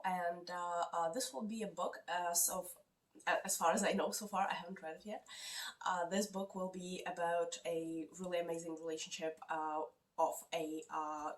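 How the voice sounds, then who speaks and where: A woman with a slightly low voice and a non-native English accent talks through her reading plans. She hesitates a lot and fills gaps with ums and uhs. She sits at some distance from the mic. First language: not English. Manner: hesitant, many fillers. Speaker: book vlogger. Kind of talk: casual chat. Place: lives in Canada.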